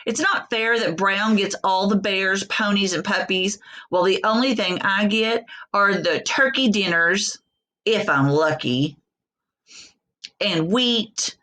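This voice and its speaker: A woman voicing a proud, slighted storybook crayon, grumbling in a deliberate, indignant way. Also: mid-range voice, bright, forceful tone.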